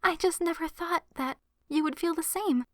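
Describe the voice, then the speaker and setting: A woman playing a gentle, shy young adult succubus in an ASMR roleplay, speaking softly and earnestly to the listener as a confiding best friend. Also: very high voice, normal speed, no room sound, studio quality. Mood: astonished, tender. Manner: soft, earnest, hesitant, confiding. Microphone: close microphone in a dry space.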